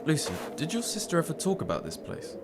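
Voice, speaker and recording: A man's voice: fairly high pitch, huge pitch swings, fast talker, heavy background noise. An actor playing a nervous, reluctant man in a horror drama, hesitant and complaining. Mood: anxious. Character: reluctant, nervous male companion lagging behind. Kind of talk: dramatic voice acting in a horror motion comic.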